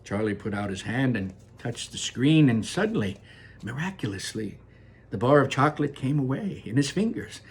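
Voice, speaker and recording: Husky man chatting after a read-aloud. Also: mid-range voice, expressive, normal speed. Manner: rambling and informal, full of fillers and restarts. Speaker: teacher reading a book aloud. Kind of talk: storybook read-aloud and chat. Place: room in his home without air conditioning.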